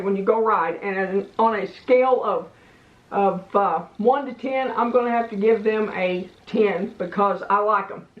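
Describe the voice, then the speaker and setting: A woman giving a casual hands-on product review in a plain-spoken, folksy, meandering way. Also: medium pitch. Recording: echoey room, slightly muffled sound.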